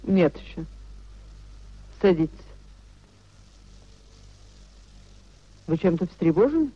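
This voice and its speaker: An actress playing a proud, impatient wife of a high official in a 1950s Soviet radio play, with cool theatrical diction. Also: female voice, big pitch swings, normal speed, husky, no room sound. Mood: puzzled. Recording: dull 1950s radio recording.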